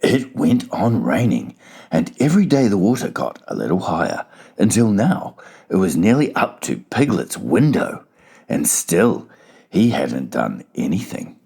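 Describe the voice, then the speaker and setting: Deep, gravelly male storybook narrator. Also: wildly swooping pitch, unhurried, dark tone. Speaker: audiobook narrator. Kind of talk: dramatized children's storybook narration.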